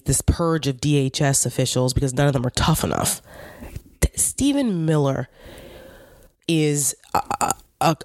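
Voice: medium pitch, a little mellow, no room sound, studio quality. A commentator with a New Jersey accent, hosting a casual political monologue in a blunt, indignant way and swearing freely. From New York / New Jersey area.

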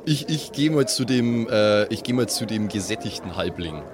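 A man's voice: lowish voice, lively intonation, moderate pace, a crowd in the background. A man with a southern German or Austrian accent, chatting casually as a player in an improvised tabletop role-playing game.

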